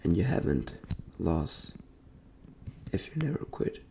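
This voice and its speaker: A young man from Denmark speaking soft, breathy ASMR in a gentle near-whisper, repeating phrases as he talks in a personal, motivational way. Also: low-pitched, very animated, takes it slowly. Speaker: ASMR creator and host.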